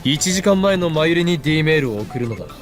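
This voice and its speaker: An actor plays a theatrical young mad scientist in a visual novel. His voice sits a bit high and he speaks quickly, with pompous, commanding declarations. Soft music runs behind. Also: lively intonation, close to an everyday voice. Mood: commanding, scheming. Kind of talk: Japanese visual novel voice acting.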